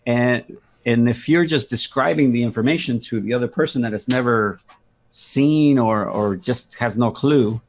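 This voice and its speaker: A man with a gravelly, husky voice giving a casual software walkthrough on a video call, thinking aloud hesitantly. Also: mid-range voice, normal speed, slight background noise. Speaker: guest demonstrating software.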